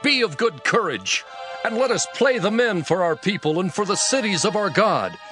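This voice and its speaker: A man's voice: high-pitched, very animated, normal speed, bright, forceful tone, soft background music, heavily acted. A man playing a bold army commander in a dramatized scripture reading, speaking in declamatory archaic phrases. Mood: rousing. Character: army commander, bold, urging his men to battle.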